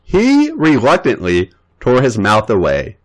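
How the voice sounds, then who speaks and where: A man reading fan fiction aloud as a podcast host, narrating in an expressive way. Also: a little slow. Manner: voices characters' lines with big pitch jumps.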